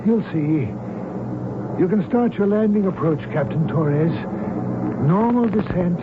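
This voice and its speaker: A tinny, noisy old radio drama recording carries a hijacker reverend. His voice sits fairly high for a man. He is formal and smug, issuing commands with cool menace. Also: animated, unhurried. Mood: smug, commanding. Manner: formal, commanding, coolly threatening.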